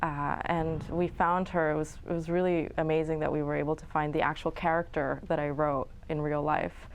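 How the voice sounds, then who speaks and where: Chatty young woman filmmaker, low-ish voice. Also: moderate pace. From New York City and Florence. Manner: relaxed, chatty, with frequent fillers and small laughs. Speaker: filmmaker guest. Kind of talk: casual interview answers. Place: studio.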